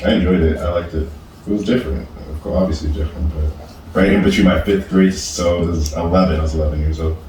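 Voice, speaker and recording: Deep, mellow man in a roomy space. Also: fast talker. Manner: relaxed, trailing off, many fillers. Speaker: podcast co-host. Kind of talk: casual reflective chat. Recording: roomy, distant mic with noise.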